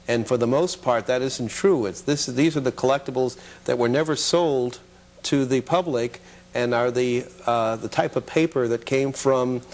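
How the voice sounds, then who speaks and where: A man's voice: mid-range voice, a little mellow. A man speaking as an interview guest, explaining things conversationally and in detail. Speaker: interview guest, collectibles show organiser.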